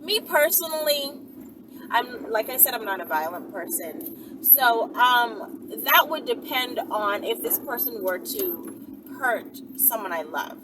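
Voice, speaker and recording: A woman chatting casually and unscripted to her viewers, with a drawn-out, hesitant way of talking. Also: fairly high pitch, expressive, recorded from a distance, noisy background. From Bahamas. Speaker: vlogger answering viewer questions. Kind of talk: casual, unscripted question-and-answer chat to viewers.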